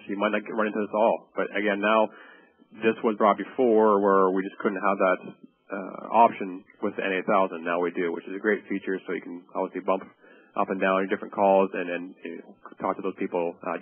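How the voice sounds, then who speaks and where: A man giving a product training presentation over slides, explaining in a loosely conversational way. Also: lowish voice. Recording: tinny, phone-like narrow band.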